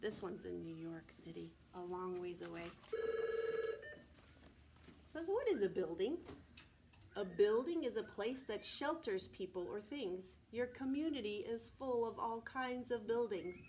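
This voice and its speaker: A woman preschool teacher reading aloud to young children in a deliberate, child-directed way, often asking prompting questions. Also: mid-range voice, lively intonation, darkish tone, slight background noise. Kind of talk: read-aloud and sing-song circle time for young children.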